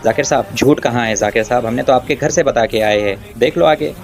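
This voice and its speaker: A man's voice: medium pitch, fairly quick, faint music behind. A man giving an argumentative religious rebuttal, addressing his opponent directly in a taunting, confrontational way.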